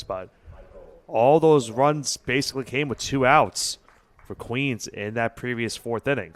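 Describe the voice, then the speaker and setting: A man's voice: fairly low pitch, fairly bright tone, clean tone. A young man giving color commentary on a baseball game, explaining what the situation means.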